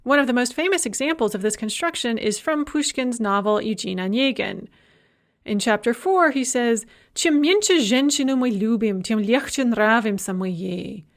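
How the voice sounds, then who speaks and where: A woman lecturing on Russian grammar, speaking clearly and measuredly, and slowing down to model the Russian example sentences. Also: fairly high pitch, lively intonation. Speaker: university Russian language lecturer. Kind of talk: recorded language lecture.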